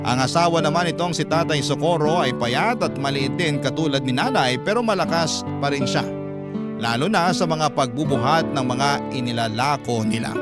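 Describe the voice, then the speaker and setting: A man with a Filipino accent reading a scripted first-person story in a classic radio narration style. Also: on the high side. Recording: loud guitar music under narration. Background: plucked guitar music.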